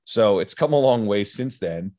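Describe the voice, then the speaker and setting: A man hosting a podcast who introduces his guest in a relaxed, chatty way. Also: fairly low pitch, moderate pace. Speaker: podcast host. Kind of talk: casual interview.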